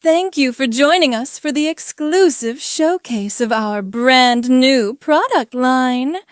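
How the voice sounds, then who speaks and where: A performer, a man, voicing a glamorous saleswoman with a smooth, commanding, teasing purr for a story. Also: very high voice, animated, slow pace, no echo, studio quality, voice pushed up, heavily acted. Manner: purring, commanding showmanship.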